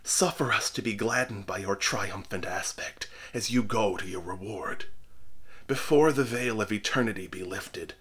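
A man's voice: lowish voice, normal speed, a bit bright, husky. A man voicing an anxious elderly male minister who speaks formally and pleads. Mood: pleading.

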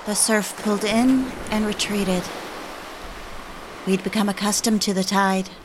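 An actress playing an intense, darkly devoted young wife in an audio drama, with a deliberate, intimate delivery. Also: female voice, medium pitch, fairly even intonation, background noise, natural voice. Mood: wistful, intimate. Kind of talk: audio drama acting and first-person narration. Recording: music under the voice.

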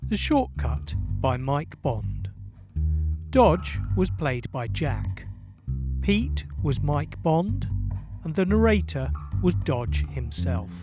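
Man reading credits over loud guitar music. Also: on the high side, sing-song, slow pace. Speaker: credits announcer. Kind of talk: scripted read credits. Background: loud plucked guitar music.

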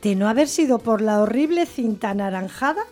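A woman's voice: on the high side, expressive, a little fast, warm tone, soft background music, lightly acted. A woman acting in a radio play as an amused, snobbishly observant lady with a wry, literary manner. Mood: ironic. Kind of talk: scripted radio drama acting.

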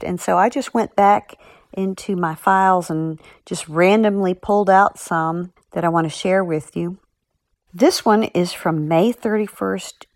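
A woman's voice: lowish voice, darkish tone, no room sound. A woman speaking as an author in a podcast segment, chatting and reading out letters.

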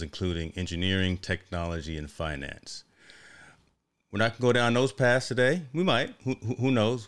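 A man's voice: sits low, a bit bright, clear, resonant tone. A man hosting a casual live interview show in a chatty way, often repeating phrases for emphasis.

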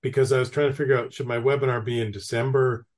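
Podcast host, a man from Canada, mid-pitched, telling stories before asking his questions. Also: little pitch movement, fast. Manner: chatty, anecdotal, asks long questions. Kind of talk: podcast interview.

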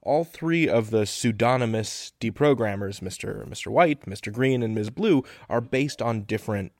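A man hosts a podcast and interviews a guest, with a gravelly texture and lively, swinging intonation. His voice sounds close and dry, with no room around it. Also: medium pitch, moderate pace, no room sound. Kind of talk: hosting and interviewing.

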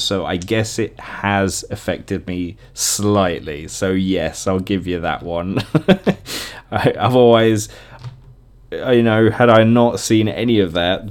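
A man's voice: lowish voice, a little mellow. A British man in his thirties hosting a casual solo podcast, chatting in a rambling way and often interrupting himself. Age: 30s. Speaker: podcast host.

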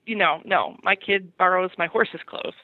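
A middle-aged woman chatting casually and telling personal anecdotes in an animated, self-deprecating way. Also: lowish voice, normal speed. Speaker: podcast segment guest on horse parenting. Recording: thin phone-like line.

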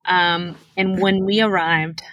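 A woman's voice: fairly low pitch, fairly even intonation, slow, fairly bright tone, no echo, low-quality recording. A woman from Peru whose first language is Spanish, a tech guest telling her life story earnestly and with animation. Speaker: guest, tech product manager. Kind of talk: interview answers, personal storytelling.

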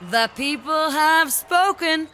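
Ceremonial rap battle announcer played by an actor, high, drawn-out delivery, noisy background. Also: sharp tone, soft background music, full character voice. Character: formal contest announcer proclaiming the winner. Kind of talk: slow ceremonial announcement in audio drama.

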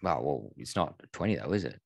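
A man co-hosting a motorsport podcast, bantering and teasing in a relaxed way. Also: very deep voice, fairly quick, gravelly, breathy edge, no echo. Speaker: podcast co-host. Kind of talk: casual motorsport podcast chat.

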